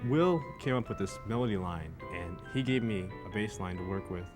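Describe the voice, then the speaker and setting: A young man talks as a student musician being interviewed. His voice is fairly low and conversational. Guitar music plays clearly behind him. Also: expressive, a little fast. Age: young adult. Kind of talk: interview explanation.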